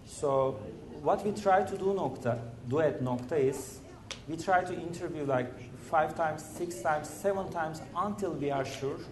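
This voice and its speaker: A man speaks on a conference panel about his startup, in an earnest, measured way with plenty of "you know" and "uh". His voice sits a little high for a man. The sound rings around a large, echoey hall. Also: background noise.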